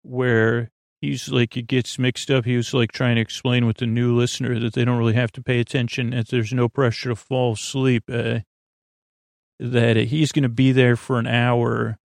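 Man, gravelly low-key voice, meandering sleepy monologue, dry close sound. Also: level tone, normal speed, no echo. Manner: meandering, self-interrupting, full of restarts and tangents. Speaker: sleep podcast host. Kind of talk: rambling late-night monologue.

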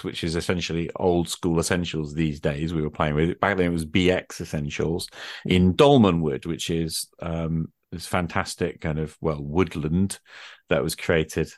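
A man's voice: deep voice, a bit bright, no echo, clean studio sound. A man in an unscripted discussion, explaining his thoughts reflectively as a game master and often checking in with rhetorical questions.

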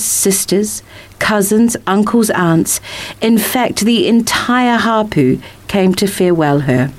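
A woman's voice: medium pitch, darkish tone. A woman reading a picture book as scripted story narration.

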